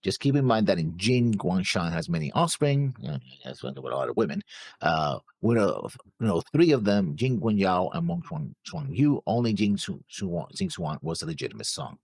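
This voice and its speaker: Casting: male co-host, deep and lively in pitch, halting as he reads and comments casually. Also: slightly rough, no room sound. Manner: halting, stumbles over names. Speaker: reaction show co-host. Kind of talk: casual chat, reading out viewer comments.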